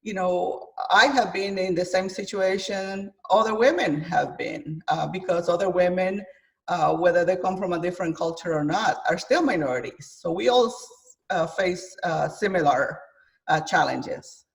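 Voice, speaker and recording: Woman from Guatemala, interview guest; halting, filler-heavy delivery, a bit off-mic on a video call. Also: fairly low pitch, creaky, distant mic. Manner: hesitant, many fillers, thoughtful. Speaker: chef and author guest. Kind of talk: interview conversation.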